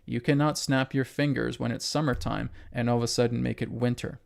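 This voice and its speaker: Male spiritual host, mid pitch, creaky, emphatic and repetitive lecture delivery. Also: steady tone, brisk, darkish tone. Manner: emphatic, repetitive, asks rhetorical questions and tags "right?". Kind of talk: spiritual lecture to an online audience.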